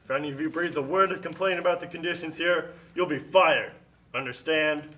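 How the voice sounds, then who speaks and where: A man's voice: on the high side, brisk, sharp tone, poor audio. A man voicing a harsh, bullying factory boss in an amateur puppet show, curt and threatening. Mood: bossy. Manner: curt, threatening, barking orders.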